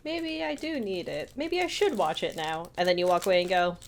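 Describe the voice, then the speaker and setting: A woman's voice: lowish voice, sing-song, brisk, a little hiss or hum. A woman co-hosting a casual podcast, chatty and given to telling things as quoted back-and-forth. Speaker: podcast co-host.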